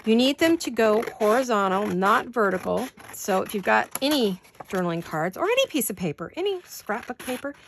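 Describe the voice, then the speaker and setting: A woman's voice: fairly high pitch, very animated, a little fast, gravelly, few pauses, background noise. A woman hosting a casual craft-along, rambling and thinking aloud with folksy, playful exclamations. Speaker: craft vlog host. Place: home craft studio.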